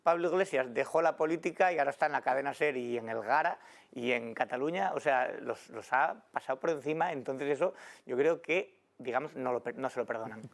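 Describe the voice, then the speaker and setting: A man's voice: medium pitch, a little fast, creaky. A man from Asturias, a panel guest, giving heated, indignant commentary in a studio debate. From Asturias, Spain.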